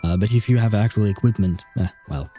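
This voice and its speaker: A man's voice: on the low side, fairly quick, mellow. A man reading a game story aloud and adding casual, sardonic commentary as a streamer. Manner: sardonic asides. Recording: background music behind voice.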